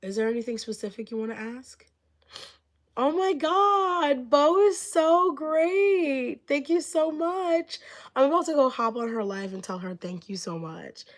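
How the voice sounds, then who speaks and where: A woman's voice: high-pitched, expressive, unhurried. Rambling woman tarot reader, voice fading. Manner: rambling, heavy with filler words; gushing thanks to viewers. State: losing her voice from illness. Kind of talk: live-stream tarot reading.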